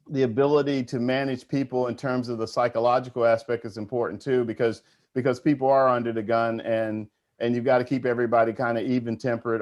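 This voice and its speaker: A man's voice: mid-range voice, a little mellow. A man hosting a panel program, conversationally introducing guests and putting questions to them. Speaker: host of an aviation panel program.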